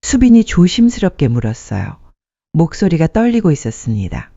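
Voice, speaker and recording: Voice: medium pitch, wildly swooping pitch, normal speed, vocal fry, mellow, no room sound. A narrator reading a scripted dramatic story as a storyteller. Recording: muffled dry studio sound.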